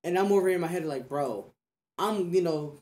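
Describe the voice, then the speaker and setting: A man's voice: a little high, a little fast, a little mellow. A young man chatting casually on a podcast, earnest and slangy, often repeating himself for emphasis. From United States. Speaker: podcast speaker. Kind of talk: casual chat.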